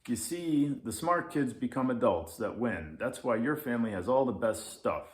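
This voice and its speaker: A man's voice: mid-range voice, expressive, moderate pace, pitched above the performer's own voice, lightly acted. A man voicing a grumpy, regretful older lynx in a children's storybook. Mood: grumbling. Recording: echoey room.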